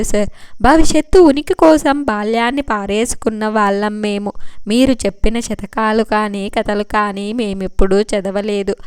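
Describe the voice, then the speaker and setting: A woman's voice: a little high, darkish tone, clear, resonant tone, no room sound, studio quality. A woman voicing an earnest, respectful young male police superintendent in an audiobook. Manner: respectful, earnest, repeatedly saying sir. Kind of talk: audiobook character dialogue.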